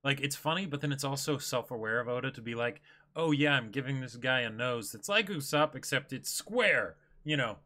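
A young man co-hosting a livestream in casual discussion, thinking aloud in halting, broken phrases. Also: medium pitch, lively intonation, pushed and bright. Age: young adult. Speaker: co-host. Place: small indoor room, seated.

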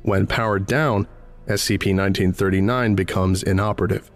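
Guy with a lowish voice doing formal documentary narration, clinical and measured. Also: steady tone, soft background music. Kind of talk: scripted documentary-style narration.

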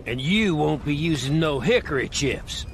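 An actor playing a folksy, sardonic wisecracking agent in a video game, with a gravelly drawl. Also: male voice, a little high, animated, moderate pace, slight background noise. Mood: sardonic, amused. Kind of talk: video game cutscene acting.

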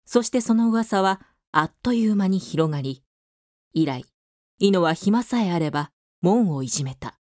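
A woman's voice: medium pitch, expressive, normal speed, a little mellow, no echo. A woman narrator reading scripted narration for a literary radio drama.

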